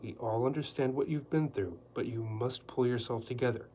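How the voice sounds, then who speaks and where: A man reading aloud and voicing a calm, curious man who asks quiet, level questions. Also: medium pitch, normal speed, slight background noise, close to an everyday voice.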